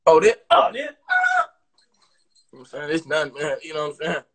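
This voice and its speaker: A man's voice: a little high, huge pitch swings, brisk, fairly bright tone. A young man hosting a casual livestream from a small bathroom, talking into a phone at arm's length in a laid-back, slangy way full of repeated fillers. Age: young adult. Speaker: livestream host. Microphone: phone held at arm's length.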